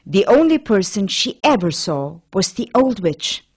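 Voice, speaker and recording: A woman's voice: mid-range voice, sing-song, a little slow, a little mellow, slight background noise. A woman narrating a children's fairy tale as a scripted storyteller.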